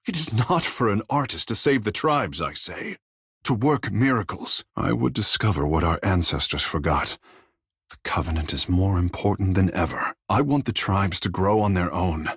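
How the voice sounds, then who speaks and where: A man's voice: low-pitched, lively intonation, normal speed, fairly bright tone, husky, no echo, clean studio sound, exaggerated voice. A male actor playing a vain, theatrical young demigod water shaper in a video game, speaking in a grandiose, lyrical, formal way. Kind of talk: video game character dialogue.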